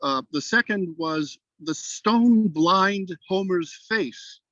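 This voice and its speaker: Male poetry reader, formal and deliberate, unhurried pace, dry but dull lo-fi call sound. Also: sits high, fairly bright tone, no echo. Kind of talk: measured poem recitation, then thoughtful comment.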